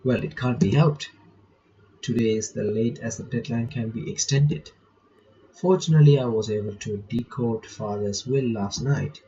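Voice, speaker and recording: A man doing a visual novel playthrough, reading the text aloud with casual asides, a bit halting and stumbling over words. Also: mid-range voice, dark tone. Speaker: reaction channel host. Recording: dull lo-fi mic, faint background noise.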